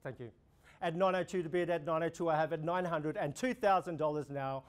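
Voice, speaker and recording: A young man with an Australian accent, an auctioneer running a practice auction, calling bids in a steady repeating rhythm. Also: on the high side, fairly quick, clear, resonant tone. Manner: rhythmic, repetitive bid calling, gestures while talking. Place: indoor room before a projection screen.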